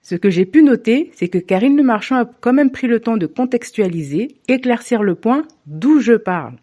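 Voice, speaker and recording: A woman from Africa delivering a scripted opinion monologue to camera, measured and deliberate with pointed asides. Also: on the high side, lively intonation, mellow. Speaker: commentator.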